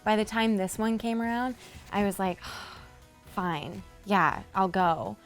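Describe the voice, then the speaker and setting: Self-deprecating young actress. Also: female voice, mid-range voice, takes it slowly, faint music behind. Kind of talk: casual storytelling in an interview.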